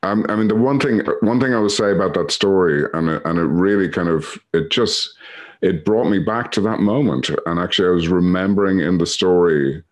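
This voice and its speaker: A man's voice: low voice, fairly quick. A middle-aged Irish man sharing his story on a video call, rambling with many fillers and a dry, sweary humor. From Ireland. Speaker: recovery meeting speaker.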